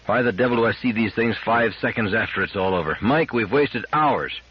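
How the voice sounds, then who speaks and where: A man voicing an exasperated, urgent male space engineer in an audiobook, with a husky, emphatic delivery. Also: fairly low pitch, brisk, highs cut off, slight background noise, lightly acted. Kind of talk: audiobook character dialogue.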